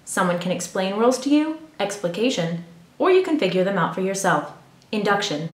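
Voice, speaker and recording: A woman's voice: mid-range voice, sing-song, a little fast. A woman vlogger with English as her first language, giving a formal, precise talk on language learning to her viewers. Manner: formal, precise, academic wording. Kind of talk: scripted explanatory talk to camera. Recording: echoey room.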